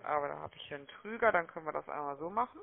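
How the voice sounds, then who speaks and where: A man's voice: on the high side, expressive, fast talker, gravelly, slight background noise. A man working as a call-centre agent, chummy and wordy, often ending sentences with little questioning tags. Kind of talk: chatty, unscripted sales phone call. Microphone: telephone line. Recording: muffled, narrow-band phone-call audio.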